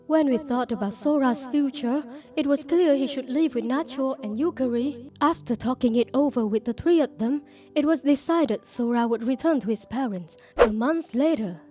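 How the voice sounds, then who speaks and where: A woman voicing a gentle, wistful grandmother in her sixties, narrating a manga dub. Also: high-pitched, normal speed, natural voice. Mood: wistful, resigned. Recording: background music under the voice.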